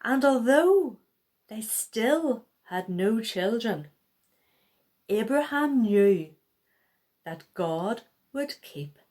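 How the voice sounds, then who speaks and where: A woman's voice: fairly high pitch, animated, extremely slow, pauses often, a little mellow. A woman reading a children's Bible story aloud, expressively and with acted-out dialogue.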